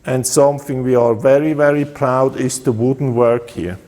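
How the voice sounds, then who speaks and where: A man with a Swiss German accent, a custom motorcycle builder giving a casual walkaround of his bikes, relaxed and halting with many fillers. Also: medium pitch, long reverb tail.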